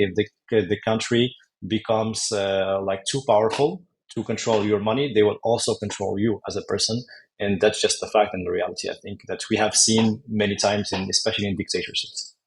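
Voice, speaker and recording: A man, a company founder as a podcast guest, talking in a measured, hesitant way and searching for words. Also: on the low side, slight background noise, rough recording. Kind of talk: casual interview talk.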